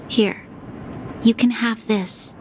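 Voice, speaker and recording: A woman's voice: a little high, steady tone. A woman playing a young, principled guard commander in a video game cutscene, speaking formally and earnestly, with compassion. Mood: kind, firm. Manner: formal, earnest, measured phrasing. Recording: clearly noisy game ambience behind voice.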